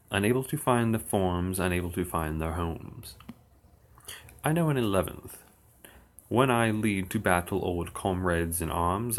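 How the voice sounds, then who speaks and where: A man reciting old verse slowly and steadily on his livestream, with dry asides. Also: sits low. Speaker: occult streamer. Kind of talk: reading verse aloud on a livestream.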